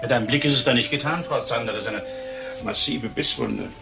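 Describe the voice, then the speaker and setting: An actor playing a jovial, plain-spoken older country vet in a TV drama, with an avuncular manner. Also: male voice, mid-range voice, brisk, distant mic, over loud music, natural voice. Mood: stern, concerned.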